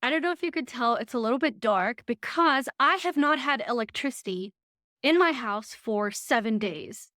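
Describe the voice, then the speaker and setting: A woman's voice: on the high side, dry recording. Chatty young woman, dry close sound. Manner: chatty, animated, quick asides. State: recovering from illness. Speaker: vlogger and design lecturer. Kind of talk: chatty casual vlog update. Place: her living room, dim.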